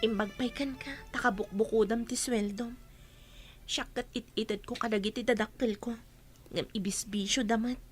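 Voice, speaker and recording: A woman's voice: on the high side, steady tone, moderate pace, soft background music, close to an everyday voice. A woman with an Ilocano accent playing an aggrieved working daughter in a radio comedy-drama. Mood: troubled, subdued. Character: aggrieved working daughter confronting her gambling parents.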